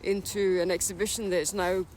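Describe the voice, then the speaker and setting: A woman's voice: fairly low pitch, flat delivery, fairly quick. A woman with a Scottish accent speaking candidly and reflectively in an outdoor interview, often restarting her sentences. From Scotland and Denmark. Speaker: interview guest. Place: outdoors by a city river. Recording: outdoor recording with loud street noise. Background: traffic and city ambience.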